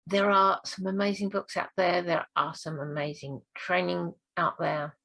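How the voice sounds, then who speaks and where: A woman with a low, croaky voice, gravelly and husky from hoarseness, talks straight to camera as a speaking coach. Her delivery is earnest and encouraging. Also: fairly even intonation, a little slow, a bit bright. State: hoarse this week. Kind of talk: direct-to-camera training talk.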